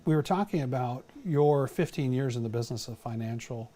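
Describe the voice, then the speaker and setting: Mellow-voiced male talk show host. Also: mid-range voice, fairly quick. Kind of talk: interview and show announcements.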